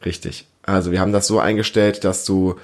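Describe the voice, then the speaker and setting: A man's voice: deep voice, little pitch movement, fairly quick. Encouraging man chatting as podcast host. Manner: encouraging, explanatory, prompting with questions. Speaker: podcast host, father interviewing his child. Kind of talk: casual family podcast chat.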